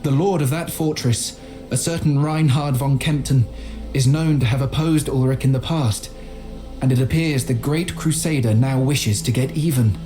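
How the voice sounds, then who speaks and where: An actor playing a learned, timid chronicler in a video game, speaking in formal, measured, literary phrases. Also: male voice, mid-range voice, music in the background, natural voice. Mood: solemn, reflective. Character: earnest, learned, timid medieval scholar and chronicler. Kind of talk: dramatic journal narration in a video game.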